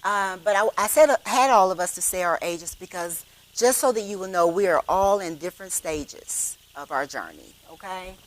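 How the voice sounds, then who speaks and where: A woman's voice: on the low side, normal speed, hardly any pauses, rough recording. A woman in her fifties hosting a church panel discussion, conversational and often checking in with the room. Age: 50s. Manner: warm, conversational, checks in with "okay?". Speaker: host, moderator of a women's panel.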